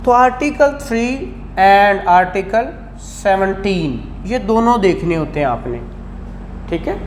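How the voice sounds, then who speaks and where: Slow-talking Pakistani Punjabi man lecturing, echoey. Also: high-pitched, lively intonation. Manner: didactic, repeats questions and check phrases. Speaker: law lecturer. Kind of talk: classroom lecture mixing Urdu and English. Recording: echoey room, faint background noise.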